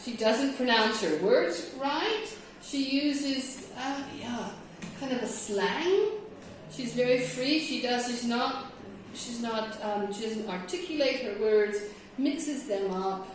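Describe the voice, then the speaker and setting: A woman's voice: fairly high pitch, takes it slowly, a little hiss or hum. A woman from California leading a classroom discussion as an English teacher trainer, chatty and checking in with her group. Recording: roomy echo, mic from a distance.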